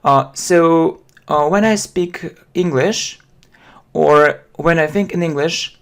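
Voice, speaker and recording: A man in his thirties with a Russian accent, teaching English slowly from a small room, stopping often to repeat and define phrases with plenty of fillers. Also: on the high side. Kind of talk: slow explanatory lesson.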